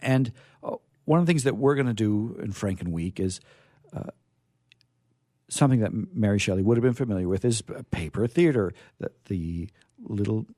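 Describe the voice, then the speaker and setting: A man hosting a radio theatre show, chatting casually in a halting, rambling, hesitant way. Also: lowish voice, lively intonation, dark tone, no room sound, clean studio sound.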